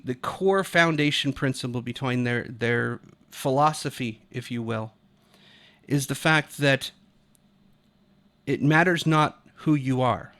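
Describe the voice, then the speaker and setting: A man's voice: a little high, a bit bright. An American man from Utah giving unscripted, opinionated video commentary in an emphatic, argumentative and casually profane way. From Utah, United States.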